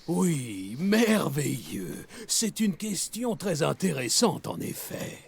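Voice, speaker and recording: A patient, solemn old animal storyteller in a children's cartoon. The delivery is slow and measured, with a creaky grain and lively melody, over soft music. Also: male voice, on the high side, exaggerated voice. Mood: solemn storytelling. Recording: light music behind.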